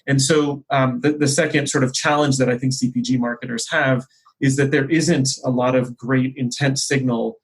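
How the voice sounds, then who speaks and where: A man with a somewhat husky voice at an average pitch explains business ideas. He is conversational but measured, pausing mid-phrase and rewording his points as he goes. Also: fairly even intonation, poor audio. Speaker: company executive, interview guest.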